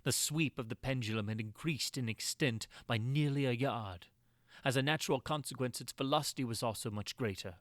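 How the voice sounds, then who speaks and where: A man's voice: medium pitch, brisk, fairly bright tone, no room sound, clean studio sound, pitched below the performer's own voice, lightly acted. A man playing a tormented prisoner recounting his agony in a theatrical, anguished way with archaic diction, in a gothic horror reading. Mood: dread, weary.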